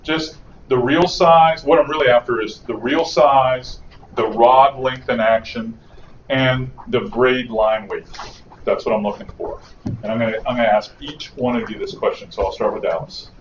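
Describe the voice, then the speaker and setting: A man's voice: medium pitch, steady tone, normal speed, slight background noise. A man moderating a panel at a fishing class, asking each captain in turn and affirming their answers. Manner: brief affirming interjections. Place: fishing class venue, indoors. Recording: echoey room, far from mic, dull.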